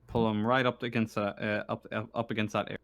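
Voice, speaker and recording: A man acting as game master, casually narrating a tabletop roleplaying game in a halting way, searching for words. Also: on the low side, flat delivery, a bit bright, no room sound.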